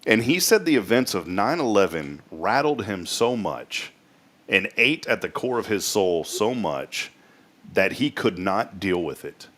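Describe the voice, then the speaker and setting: Casting: American male talk host, late thirties, lowish voice, folksy and rambling. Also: sing-song, unhurried, a bit bright. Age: late 30s. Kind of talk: casual chatty monologue.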